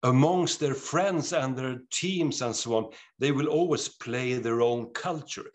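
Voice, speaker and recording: A middle-aged man with a Swedish accent speaks in an interview, earnest and animated. He often restarts his sentences as he tells stories. His voice sits at an ordinary middle pitch for a man. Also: a little slow. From Sweden. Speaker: author guest. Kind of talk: interview conversation.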